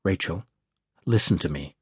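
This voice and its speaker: A man reading an audiobook, voicing a calm, reassuring woman working as an emergency operator, measured and firm. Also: sits low, slightly rough, no room sound, studio quality, pitched below the performer's own voice, heavily acted. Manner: measured, soothing, controlled.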